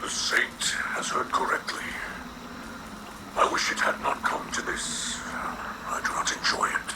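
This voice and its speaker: An actor playing a grave, remorseful alien leader in a video game, formal and breathy. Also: male voice, in a whisper, close to an everyday voice, low-quality recording. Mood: solemn, regretful. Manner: slow, formal, solemn. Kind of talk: video game character acting.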